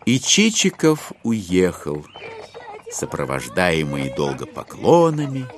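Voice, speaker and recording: A man narrating a literary audio drama in an expressive storytelling manner. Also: deep voice, slow pace, heavily acted.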